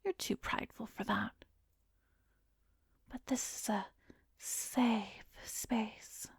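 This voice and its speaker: A woman playing a calm, coaxing female therapist in a whispered ASMR hypnosis roleplay, soothing and measured, with a soft breathy voice close to the microphone. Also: on the high side, dry recording, heavily acted. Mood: coaxing, intimate. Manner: slow, soothing, measured guiding with pauses. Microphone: close microphone, dry recording.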